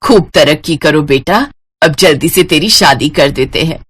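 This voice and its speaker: A woman's voice: sits low, moderate pace, fairly bright tone, slight background noise, pitched below the performer's own voice. A woman voicing a fond, approving middle-aged mother in a family storybook tale.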